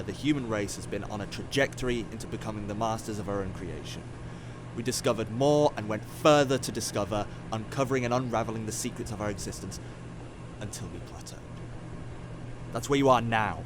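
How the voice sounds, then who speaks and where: A man's voice: on the low side, fairly quick, background noise, close to an everyday voice. A man in an audio drama playing a sardonic, weary, regretful scientist from the future who is centuries old. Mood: bleak, resigned.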